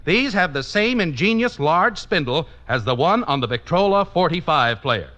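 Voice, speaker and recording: A man's voice: fairly high pitch, sing-song, normal speed, pushed and bright. A man announcing scripted commercials and credits on a muffled 1950s radio broadcast.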